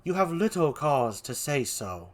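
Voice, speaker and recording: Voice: mid-range voice, big pitch swings, a little slow. A performer voicing a curt, reproachful soldier's wife with a put-on British accent. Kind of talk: amateur Shakespeare read-aloud.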